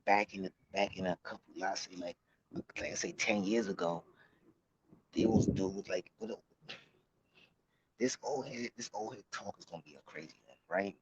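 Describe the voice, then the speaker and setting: A man of about thirty giving casual, slangy street commentary in a halting, repetitive way, recorded outdoors. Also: sits low. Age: late 20s, about thirty. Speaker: vlog host. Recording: muffled, noisy outdoor recording with clicks.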